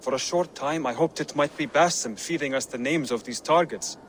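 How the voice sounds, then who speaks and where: An actor playing an earnest, thoughtful scholarly assassin who speaks carefully and formally in a game. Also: male voice, fairly high pitch, moderate pace, a bit bright, slight background noise, natural voice. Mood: earnest, intrigued. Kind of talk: game cutscene acting.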